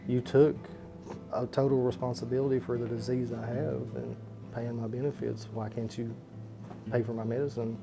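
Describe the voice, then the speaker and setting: A man, a coal miner, speaking in a flat, weary tone in a documentary interview. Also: medium pitch, moderate pace, creaky. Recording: guitar music over a distant voice.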